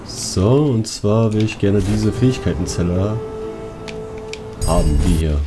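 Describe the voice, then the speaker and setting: A man with a fairly low voice comments on a video game as he plays. He talks colloquially, partly to himself and partly to his viewers. Game music plays clearly behind him. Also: animated, measured pace. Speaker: let's player. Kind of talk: casual gameplay commentary.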